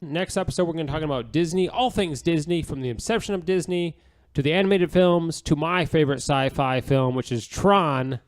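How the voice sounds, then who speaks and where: Higher-pitched man, gushing podcast co-host. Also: a little fast, hardly any pauses. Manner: gushing, rambling, repeats phrases. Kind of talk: casual enthusiastic podcast chat.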